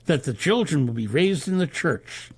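Storybook role for a male narrator: hard-up Irishman, grudging haggler, higher pitch, husky edge. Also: expressive, moderate pace. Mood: grudging, wary. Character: down-on-his-luck Irishman, wary and bargaining.

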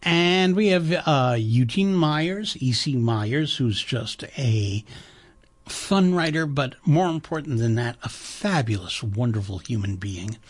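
A husky-voiced man hosts a radio show from the studio. He talks in a chatty, rambling and enthusiastic way, with a pitch that sits in the middle of the range. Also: lively intonation, takes it slowly. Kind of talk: casual on-air radio chat with a pledge-drive pitch.

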